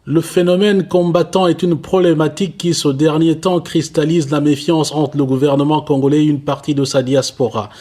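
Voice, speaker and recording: Mellow-voiced male news narrator. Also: a little high, steady tone, moderate pace, hardly any pauses.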